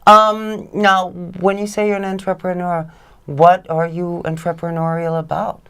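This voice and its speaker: A talk show host interviewing guests in a clear, smooth voice, asking direct questions. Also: mid-range voice, flat delivery, unhurried, fairly bright tone.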